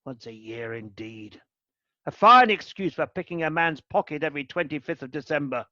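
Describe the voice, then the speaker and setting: A man's voice: fairly high pitch, animated, normal speed, bright, forceful tone, no echo, highs cut off. A man playing a cold, grumpy elderly miser in a radio play, curt and scornful, barking orders. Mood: grudging, irritated.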